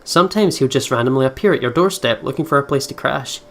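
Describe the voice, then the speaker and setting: A man's voice: mid-range voice, expressive, quick pace. Chatty man doing let's play commentary. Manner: chatty, thinking aloud, reactive. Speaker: gaming video host. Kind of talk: let's play commentary, reading story text aloud.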